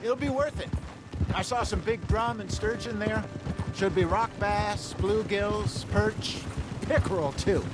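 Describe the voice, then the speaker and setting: An actor playing a genial, dry-witted old outlaw in a video game, talking in an easygoing way. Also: male voice, high voice, unhurried. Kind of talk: naturalistic video game acting. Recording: very noisy outdoor game ambience, horse hooves.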